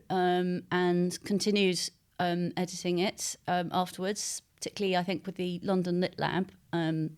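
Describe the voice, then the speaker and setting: Smooth, clear-voiced woman author, slightly low. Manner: reflective, explanatory, halting phrasing. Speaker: author being interviewed. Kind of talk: interview talk about own writing.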